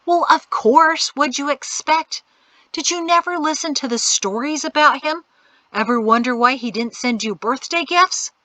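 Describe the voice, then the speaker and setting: Impatient, dismissive young man, voiced by a woman; curt delivery, bright edge. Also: on the high side, expressive, measured pace, dry recording, voice pushed up. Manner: brusque and scolding.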